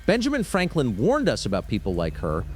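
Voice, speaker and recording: A man's voice: mid-range voice, wildly swooping pitch, fairly quick, darkish tone, slight background noise. A man playing a suspicious, insistent conspiracy theorist calling a radio show. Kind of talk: radio call-in drama acting.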